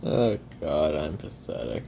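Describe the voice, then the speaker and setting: Man playing a deep gravelly jaded assassin. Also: big pitch swings, a little slow, mellow, exaggerated voice. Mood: self-deprecating, weary. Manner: profane, wry, rambling, self-deprecating. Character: jaded hard-drinking male assassin recording his memoirs. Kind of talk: confessional audio roleplay monologue. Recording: audible hiss and low rumble.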